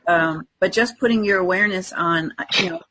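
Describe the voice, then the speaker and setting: A woman's voice: on the low side, brisk, sharp tone, slight background noise, poor audio. A woman co-hosting a podcast, talking in an earnest, conversational way about spiritual things and often checking for agreement. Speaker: podcast co-host. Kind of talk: casual spiritual chat.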